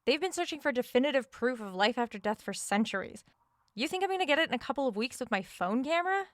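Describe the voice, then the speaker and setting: An actress playing a tired, uneasy author staying alone in a haunted house, speaking naturally with a guarded, hesitant manner in a horror audio drama. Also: female voice, high-pitched, animated, fast, dry recording, studio quality. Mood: incredulous.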